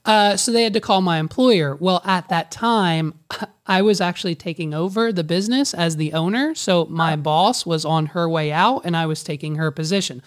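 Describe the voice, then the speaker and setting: A man with a raspy voice that people often take for a woman's, a business owner telling a story in casual conversation, jokey and confiding. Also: high voice, normal speed.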